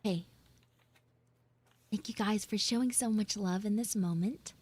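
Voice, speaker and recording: A woman livestreamer chatting casually and haltingly with her live viewers, in an informal way, close on the mic. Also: medium pitch, husky. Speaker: livestreamer and VTuber host.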